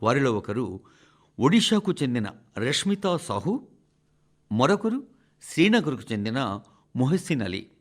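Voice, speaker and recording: A man reading a scripted, formal radio address in speech, phrasing it in a measured, emphatic way with deliberate pauses. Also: mid-range voice, sing-song. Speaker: radio reader of a translated address.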